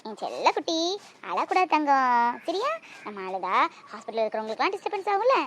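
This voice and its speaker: Lady acting as a protective mom, high lively voice, talks fast. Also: a little hiss or hum. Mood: soothing. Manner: coaxing to her child, sharp when confronted. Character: fierce protective young mother. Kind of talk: Tamil drama acting.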